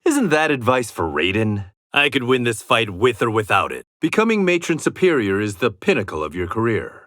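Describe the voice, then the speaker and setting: An actor playing a proud, resolute blind swordsman in a video game, with a husky voice and a terse, defiant delivery. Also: medium pitch, sing-song, moderate pace, fairly bright tone. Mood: dry banter. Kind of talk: video game combat voice lines.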